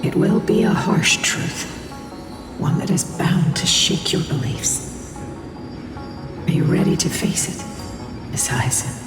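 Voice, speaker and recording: Slow, echoing ancient warrior spirit. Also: medium pitch, animated. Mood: solemn, grave. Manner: grave, slow, formal. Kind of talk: theatrical fantasy video game dialogue. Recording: very echoey distant hall, loud music behind.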